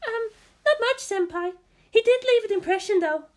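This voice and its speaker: A woman voices a girlish young female assistant in a story reading. The voice is pitched very high, hesitant and eager to please. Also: moderate pace, a little mellow. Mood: timid, eager.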